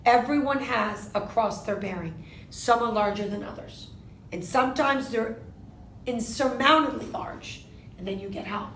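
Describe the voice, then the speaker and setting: A woman in her fifties, born in Argentina, answering interview questions in a measured way, often posing a question to herself and then answering it. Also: a little high, fairly bright tone, echoey room, a little hiss or hum. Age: 50s. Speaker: interviewee, lawyer.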